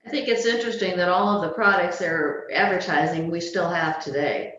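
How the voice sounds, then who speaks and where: An older woman chats casually on a video call. Her voice is on the low side and rings heavily in the room. The audio is dull. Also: steady tone, fairly quick, fairly bright tone. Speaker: session attendee. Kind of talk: casual chat. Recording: very echoey, dull, faintly noisy video call.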